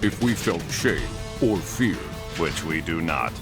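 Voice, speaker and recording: Low-voiced robot bad guy, totally deadpan and formal, music behind. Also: slow, full character voice. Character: emotionless robot villain, stiff and monologuing. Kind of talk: animated video game villain acting.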